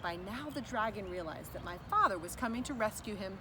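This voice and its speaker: A woman reading a children's book aloud as an expressive narrator. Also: mid-range voice, brisk. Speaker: children's story reader. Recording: distant mic with clear background noise.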